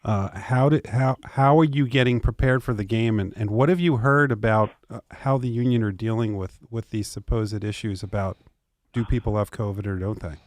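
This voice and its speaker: A man's voice: on the low side, normal speed, dry recording. A man co-hosting a sports talk show, asking a guest questions with enthusiasm. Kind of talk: interviewing a guest.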